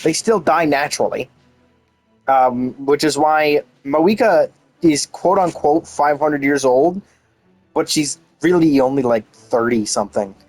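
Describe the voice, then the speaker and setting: A man's voice: fairly high pitch, measured pace, faint music behind, low-quality recording. A man giving casual, off-the-cuff game commentary, explaining things informally and often interrupting himself. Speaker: game streamer.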